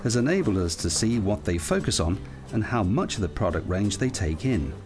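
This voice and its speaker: Animated British male narrator over music. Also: on the low side, normal speed. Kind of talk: scripted training film narration. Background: background music.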